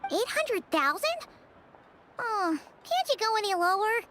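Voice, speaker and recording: A woman voicing a tiny, chatty, childlike fairy companion in a video game, squeaky and exclamatory. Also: a little slow, background noise, full character voice. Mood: exclaiming. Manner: exclamatory, expressive. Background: game music.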